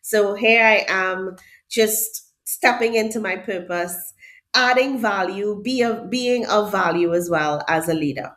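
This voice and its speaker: A woman's voice: mid-range voice, a little slow, fairly bright tone. A middle-aged woman with a Trinidadian accent, a hotel HR director answering interview questions in an emphatic way, often repeating key words for stress. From Trinidad and Tobago. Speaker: hotel HR director, podcast guest.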